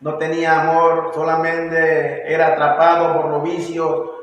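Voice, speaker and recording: A man's voice: on the high side, monotone, unhurried, slight background noise. A Panamanian man in his forties with a Panamanian Spanish accent, earnestly giving his church testimony and often restarting words. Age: 40s. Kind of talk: personal testimony. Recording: echoey hall, distant mic.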